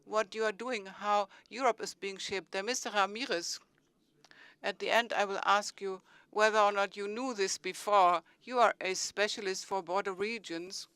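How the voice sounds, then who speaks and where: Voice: medium pitch, steady tone, normal speed, sharp tone, clear, resonant tone, dry recording. A panel moderator introducing speakers at a conference, chatty with teasing asides.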